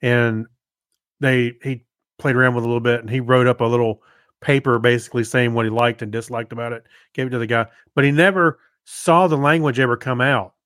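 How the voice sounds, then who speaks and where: A man co-hosting a podcast, telling anecdotes in a casual, halting, conversational way. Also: medium pitch, fairly even intonation, a bit bright, no room sound, clean studio sound. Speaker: podcast co-host. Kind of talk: casual chat.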